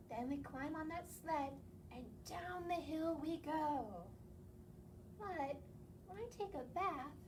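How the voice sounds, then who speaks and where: A woman hosting a library story time, reading aloud and singing rhymes for children with playful questioning asides to young listeners. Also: high-pitched. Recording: distant mic with clear background noise.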